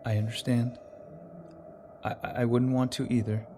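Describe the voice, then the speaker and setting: A man playing a gruffly kind, patient police detective in an audio drama, speaking in short, gentle phrases. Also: mid-range voice, flat delivery, normal speed, noisy background, natural voice. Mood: gentle, reassuring.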